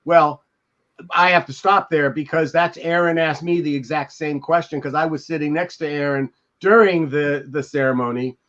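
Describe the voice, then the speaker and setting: An older American man on a podcast, telling anecdotes and reading from a memoir in a halting, reflective way. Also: on the high side, fairly even intonation, a bit bright. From Beverly Hills, California. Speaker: podcast co-host. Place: indoors at home, on a video call. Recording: dull lo-fi video call audio.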